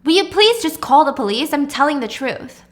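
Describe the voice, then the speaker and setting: A woman's voice: high voice, fast, a bit bright. A woman voicing a desperate, frantic wife who pleads urgently and insistently for help.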